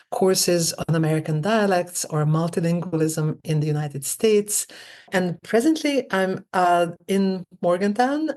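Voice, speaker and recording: A woman, a linguistics professor, speaking as a guest in a thoughtful, halting way with many fillers. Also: fairly low pitch, a little mellow. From Hungary. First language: Hungarian. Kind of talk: casual interview talk.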